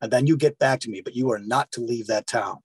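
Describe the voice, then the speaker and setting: A man's voice: mid-range voice, quick pace, husky, no echo, close to an everyday voice. Old-school FBI agent, played by a man, by the book, commanding, dry close sound. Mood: stern, commanding. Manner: authoritative, commanding.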